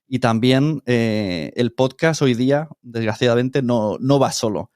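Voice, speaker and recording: A man's voice: mid-range voice, unhurried, darkish tone, no room sound. Middle-aged Spanish man talking, dry sound. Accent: Spain. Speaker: podcast producer, interview guest. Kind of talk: casual interview conversation.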